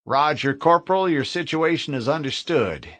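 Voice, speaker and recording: A man voicing a composed fleet officer heard over the radio in an audiobook, formal and measured, a little higher than his own voice. The sound is husky and dry. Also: expressive, fairly bright tone, no echo.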